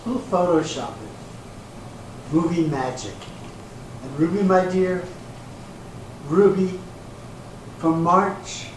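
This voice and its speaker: Far off in a very echoey, noisy hall, a man acts out a slick promoter delivering his pitch slowly. He is grandiose and placating, selling hard in stage-reading style. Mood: coaxing, reassuring. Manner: salesman's patter, grandiose, placating. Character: slick, smooth-talking male promoter pitching a glamour calendar. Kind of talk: readers theater stage acting. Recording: echoey hall from far off, hissy room noise.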